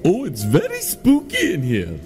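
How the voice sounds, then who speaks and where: A man's voice: a little high, huge pitch swings, measured pace, music in the background. A man playing a timid, naive, childlike foreign man with stilted, earnest phrasing in a comedy audio drama. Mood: nervous. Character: timid, naive, girl-crazy foreign man, easily spooked.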